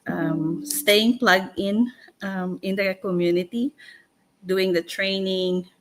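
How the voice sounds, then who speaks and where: A woman, talking casually as a livestream co-host, haltingly searching for words. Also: medium pitch, steady tone, rough recording. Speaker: co-host and panelist.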